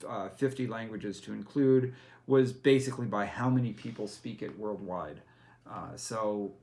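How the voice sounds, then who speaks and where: A man's voice: fairly low pitch, gravelly, a little mellow. A man walking a player through tabletop character creation, patient and full of fillers and self-corrections. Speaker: game master. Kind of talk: explaining game rules step by step.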